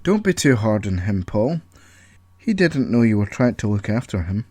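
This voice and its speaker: A man voicing a gentle, naive lab computer in an audio story, apologetic and reassuring. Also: lowish voice, very animated, moderate pace, no room sound. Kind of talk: audio story character acting.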